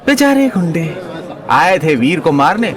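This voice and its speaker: A man's voice: a little high, very animated, unhurried, a faint crowd. A man narrating a comic audio story in a playful, teasing, dramatic way.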